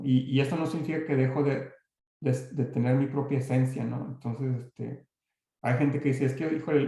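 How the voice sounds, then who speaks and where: A man's voice: medium pitch, mellow, heavy reverb. A man with a Mexican accent, giving an online talk and answering audience questions, hesitant with many restarts and fillers. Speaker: guest speaker.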